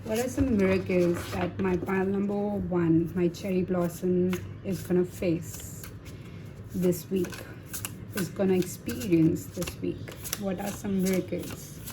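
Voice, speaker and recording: A woman's voice: lowish voice, fairly even intonation, a little slow, noisy background. A woman giving a casual tarot reading to viewers, talking in a chatty, reassuring way with many fillers and tag questions. Her first language is Hindi. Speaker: tarot reader.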